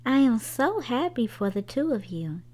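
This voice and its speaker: A woman's voice: on the high side, sing-song, normal speed, mellow. A woman voicing a proud, loving mother in a storybook, affectionate and delighted.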